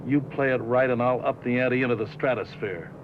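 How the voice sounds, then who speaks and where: A bitter, scheming male showbiz operator played by an actor in a 1950s film drama. He speaks in blunt slang at a middling pitch, giving orders like a coach. The old soundtrack is dull and plainly noisy. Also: a little fast, close to an everyday voice. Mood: bossy, scheming. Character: scheming, bitter, bossy male showbiz manager, wisecracking hustler.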